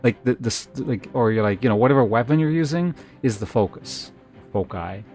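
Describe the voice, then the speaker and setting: A man chatting casually on a game livestream, thinking aloud in hesitant, trailing half-sentences with a gravelly fry to his voice. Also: medium pitch, fairly quick, a little mellow. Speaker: game streamer. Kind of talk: casual livestream gameplay chat. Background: faint game music.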